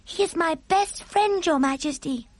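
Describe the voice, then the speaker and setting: A woman's voice: very high voice, measured pace, a little mellow, voice pushed up. A woman voicing a small girl in a storybook, with a polite, earnest, childlike manner. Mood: earnest, reassuring.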